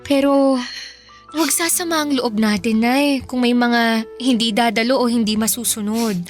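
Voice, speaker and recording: An actress playing a gentle, sensible Filipino teenage daughter in a radio drama, earnest and soothing. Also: female voice, on the high side, fairly even intonation, normal speed, soft background music, natural voice.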